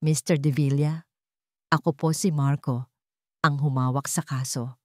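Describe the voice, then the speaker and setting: A woman's voice: low-pitched, steady tone, unhurried, mellow, no room sound, clean studio sound, pitched below the performer's own voice. A woman voicing a polite male private investigator in an audio drama, earnest and uneasy with guilt. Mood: polite, guarded. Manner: deferential, earnest.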